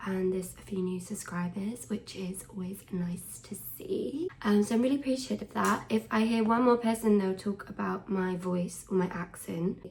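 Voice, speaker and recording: Frank young woman with gravelly fry. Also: mid-range voice, rough recording. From Wales. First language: Welsh. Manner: frank, conversational, drops to soft near-whisper at times. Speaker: fashion commentator. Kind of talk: casual talking-to-camera commentary.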